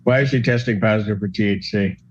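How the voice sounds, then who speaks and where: A judge with a low male voice speaks in short, matter-of-fact courtroom rulings. The audio is dull and muffled. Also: quick pace.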